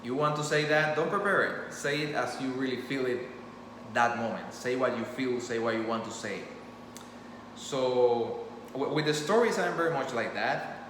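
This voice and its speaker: A man's voice: mid-range voice, moderate pace, fairly bright tone. Guy whose first language is spanish rambling into his phone, lots of restarts, echoey roomy sound, noise in the background. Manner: rambling, earnest, many restarts. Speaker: storyteller channel host. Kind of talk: impromptu talk to camera.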